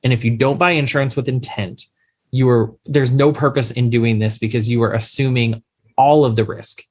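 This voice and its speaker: An emphatic man gives advice as a podcast guest, with a middle-pitched voice. He stresses his points and often asks questions back, keeping a plain, explanatory manner. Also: fairly even intonation, moderate pace. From Louisiana, USA. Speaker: insurance attorney guest. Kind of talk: podcast interview, advising investors.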